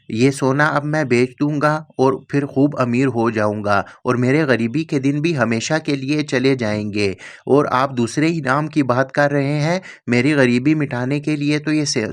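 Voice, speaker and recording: A man's voice: medium pitch, natural voice. A man voicing an earnest, grateful poor farmer in a cartoon story.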